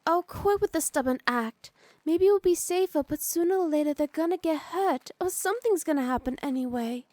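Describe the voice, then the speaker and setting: High-voiced sweet, teasing young wife acted by a woman. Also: animated, brisk, a little mellow, no echo. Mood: indignant pleading. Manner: sweet, coaxing, playfully scolding. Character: doting, teasing, pregnant young noblewoman wife. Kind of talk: intimate ASMR roleplay.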